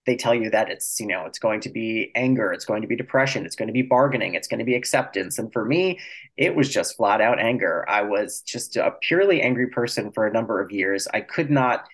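A man's voice: on the low side, expressive, fairly quick, rough recording. A man speaking as an author guest in an interview, explaining things reflectively and choosing his words carefully.

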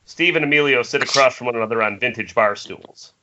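A man's voice: medium pitch, fast talker, sharp tone. A man narrating screenplay directions for a table read, recorded over an internet call. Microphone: remote video-call microphone. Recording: muffled internet call, faint noise.

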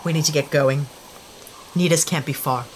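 A woman's voice: sits low, fairly even intonation, normal speed, a little hiss or hum, natural voice, rough recording. A woman playing a tough, stubborn space investigator in a sci-fi audio drama, terse, blunt and sceptical. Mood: grim, wary.

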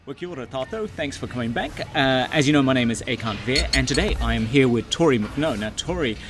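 A man's voice: mid-range voice, expressive, a little fast, music in the background. A man hosting a casual interview, chatty with rambling lead-ins, recorded at an outdoor cafe. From England. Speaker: podcast host. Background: cafe and traffic noise.

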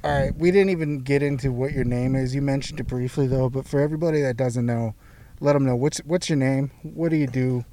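A man's voice: medium pitch, fairly even intonation, brisk, dark tone. A man hosting a casual interview, asking loose questions and laughing easily along with his guest. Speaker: podcast host.

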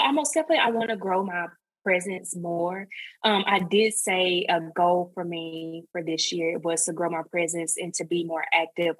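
Lowish husky woman guest, rambly, lots of ums, dry close mic. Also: fairly bright tone, dry recording, rough recording. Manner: rambling, full of fillers like "um" and "you know". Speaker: guest, content creator. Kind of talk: casual interview chat.

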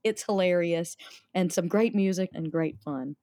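A woman's voice: on the low side, measured pace, dark tone, no room sound, clean studio sound. A woman theater director answering interview questions about her holiday show, enthusiastic and promotional.

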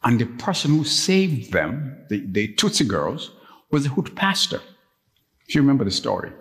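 A middle-aged man from Uganda preaching a sermon as pastor, telling stories and jumping up to high, emphatic peaks when stirred. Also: very animated, moderate pace, fairly bright tone. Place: church. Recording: long reverb of a church.

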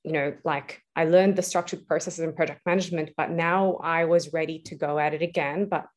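Reflective woman with a lowish voice. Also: fairly even intonation, fairly quick. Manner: reflective, many fillers and restarts. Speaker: guest, entrepreneur. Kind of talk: casual interview answers.

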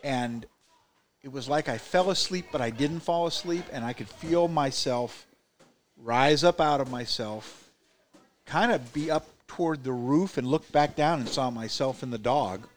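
A man's voice: mid-range voice, measured pace, a little hiss or hum. A man from Indiana, a podcast co-host, telling a story in a rambling way full of "you know what I mean" asides. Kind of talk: casual chat, storytelling.

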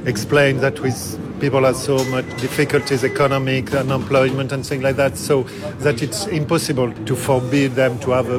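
Halting French-accented man, flat delivery. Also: medium pitch. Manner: halting, searching for words with many fillers. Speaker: interviewee. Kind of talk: interview answers in second language.

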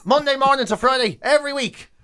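High-pitched Irish man in comic radio banter. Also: fast, pushed and bright. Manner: mock outrage, emphatic repetition. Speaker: radio show co-host.

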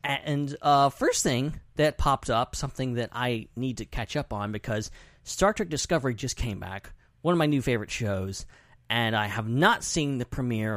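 A man hosts a podcast in an informal, conversational way, with rambling enthusiasm. His mid-pitched voice is recorded dry, with very little room sound. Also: dry recording. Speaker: podcast host. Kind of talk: casual podcast chat.

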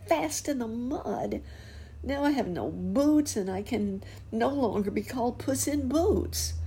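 A woman's voice: a little high, vocal fry, warm tone, a little hiss or hum, pitched above the performer's own voice. A woman reading aloud and voicing a sad, fretful male cat from a storybook, in a plaintive, wavering voice.

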